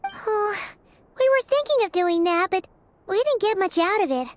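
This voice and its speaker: A woman voicing a small, excitable, childlike fairy companion in a video game, exclamatory and nosy. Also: very high voice, moderate pace, dry recording. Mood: curious chatter. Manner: refers to self in third person, exclamatory. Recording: music behind the voice.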